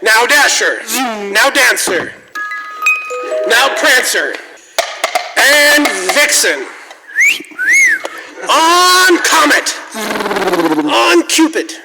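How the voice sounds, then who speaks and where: A man's voice: very high voice, sing-song, very slow, creaky, pauses often, big-room sound, loud music behind the voice, poor audio. A man giving a theatrical, exaggerated comic reading of a poem for a radio show on stage. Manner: dramatic, exaggerated delivery. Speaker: poem reader, performer.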